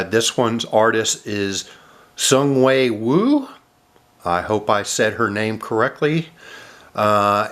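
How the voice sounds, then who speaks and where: A man with a cold, sounding nasal, casually narrating an unboxing of model airplanes with many filler sounds and throat clearing. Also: on the low side, a bit bright.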